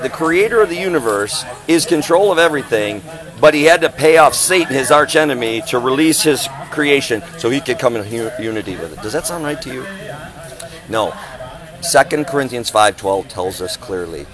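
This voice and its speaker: A man with a big voice preaching on the street in an emphatic, declamatory manner full of rhetorical questions. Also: mid-range voice. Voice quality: big, loud voice. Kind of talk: impassioned street preaching and open-air discussion.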